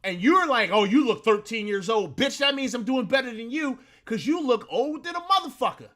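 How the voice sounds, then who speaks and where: A man's voice: very high-pitched, lively intonation, brisk, fairly bright tone. A man in his late thirties hosting a live sports talk stream, ranting with plenty of profanity and boasting. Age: 30s. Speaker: sports talk show host. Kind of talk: casual live-stream chat.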